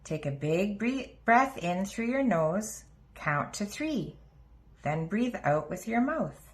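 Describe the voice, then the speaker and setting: A woman's voice: medium pitch, sing-song, slow pace. A woman reading a picture book aloud to young children as a storybook narrator.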